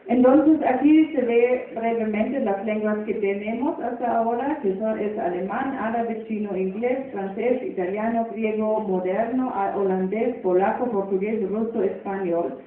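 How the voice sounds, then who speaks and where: A woman giving a conference talk about her university faculty, hesitant, pausing often with filler sounds and tag questions. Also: mid-range voice. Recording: echoey hall, distant, phone-like.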